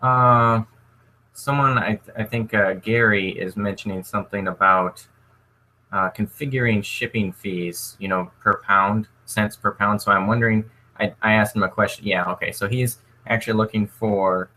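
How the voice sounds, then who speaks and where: Flat-toned man relaying viewer questions. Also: on the low side, normal speed, poor audio. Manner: relaxed, thinks aloud while relaying questions. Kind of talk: casual livestream Q&A.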